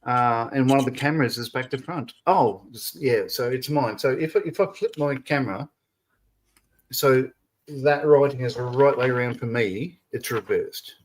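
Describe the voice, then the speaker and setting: A man's voice: mid-range voice, normal speed, a little hiss or hum. A man hosting a casual live stream, chatting freely, laughing often and reading viewer comments aloud. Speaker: stream host.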